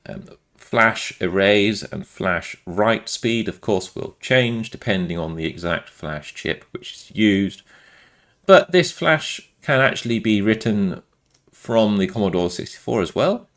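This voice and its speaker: Male maker for informal tech demo narration: deep, creaky voice, matter-of-fact explanatory delivery. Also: a bit bright. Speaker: maker presenting own hardware project.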